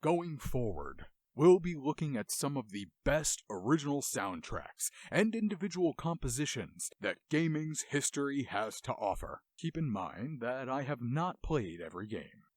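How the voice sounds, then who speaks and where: A man's voice: mid-range voice, very animated, unhurried, dry recording, clean studio sound. A man hosting a game music show with enthusiastic, analytical commentary and dramatic storytelling.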